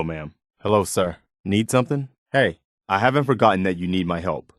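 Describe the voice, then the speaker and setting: A man's voice: on the low side, no echo, clean studio sound, close to an everyday voice. A man playing an earnest, loyal militia companion in a video game, plain-spoken, caring and given to moralizing. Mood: steady, helpful.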